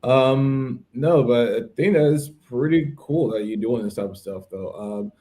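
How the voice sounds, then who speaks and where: A man in his thirties with an American accent and a mid-pitched voice. He speaks casually, as in a podcast conversation, and explains things in a chatty, informal way. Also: a little slow, mellow. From United States. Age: 30s. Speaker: podcast participant, English teacher.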